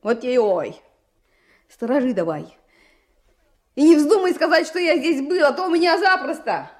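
A performer playing a guarded, defiant runaway village boy with rough speech in a radio play. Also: high-pitched, sing-song, moderate pace. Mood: gruff, secretive.

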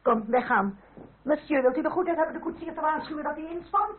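A woman's voice: high voice, lively intonation, quick pace. An actress playing a haughty, middle-aged French royalist noblewoman in a Dutch radio drama, speaking in a formal, imperious, genteel manner. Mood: brisk, anxious. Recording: tinny, band-limited, hissy old radio recording.

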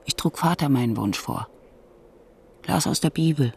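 Husky, reflective storyteller. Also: female voice, sits low, expressive, normal speed, darkish tone, heavily acted. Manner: literary, reflective. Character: reflective grown woman recounting her medieval childhood. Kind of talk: scripted first-person radio play narration.

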